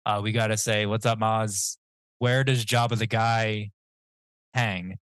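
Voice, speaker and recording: A man's voice: on the low side, fairly even intonation, takes it slowly, a bit bright, clean tone, no room sound. A man, a guest on a podcast, telling a rambling, jokey story in casual chat with self-mocking asides. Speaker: guest comic writer.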